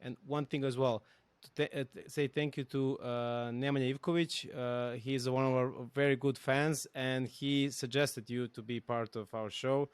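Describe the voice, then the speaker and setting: A man's voice: mid-range voice, fairly even intonation, normal speed, few pauses, clear, resonant tone, no echo. A Serbian-accented man hosts an interview in English, thinking aloud and rambling through long sentences. His mid-range voice sounds dry and close, with no room around it. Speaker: podcast host. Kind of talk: conversational interview.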